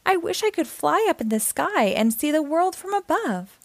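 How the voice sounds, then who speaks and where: Woman as lonely storybook tree, wistful, eager, high-pitched, lively intonation. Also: normal speed, dry recording. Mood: wistful, bored. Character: lonely, bored, adventurous male tree longing to fly. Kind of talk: children's storybook read-aloud.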